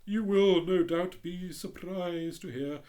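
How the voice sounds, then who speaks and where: A storyteller voicing a haughty, conceited male firework rocket, slow and grandly drawn out. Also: mid-range voice, mellow, pitched above the performer's own voice, natural voice. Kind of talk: storybook reading.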